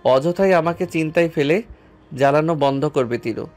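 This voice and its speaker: A man's voice: on the high side, normal speed, darkish tone, natural voice. An actor playing a worried, exasperated young man in an audio story, scolding with a stern, agitated manner. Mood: stern, annoyed. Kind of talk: audio story dialogue acting. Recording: music under the voice. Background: background music.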